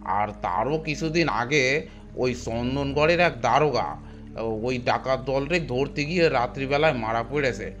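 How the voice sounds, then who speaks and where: Man acting as loyal bandit underling, cartoon, mid-pitched, hesitant, colloquial. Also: fairly bright tone, natural voice. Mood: curious, uneasy. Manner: hesitant, rustic colloquial speech. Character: anxious, deferential rustic bandit henchman, loyal to boss. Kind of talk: animated horror story dialogue. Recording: music bed behind voice.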